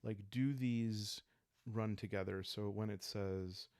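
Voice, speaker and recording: A low-pitched man talks in a calm, unhurried way through a solo storytelling game. His voice has a gravelly fry to it, and the recording is dry and close, with no room around it. He improvises scenes and thinks out loud, in a style meant to help listeners doze off. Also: no echo. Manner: soft-spoken, calm and unhurried, thinking aloud. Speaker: host playing a solo journaling roleplay game.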